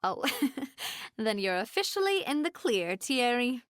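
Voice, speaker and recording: A woman's voice: a little high, sing-song, unhurried, no echo, clean studio sound. An actress playing a determined, gracious young woman investigator in a video game, confident and earnest. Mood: friendly.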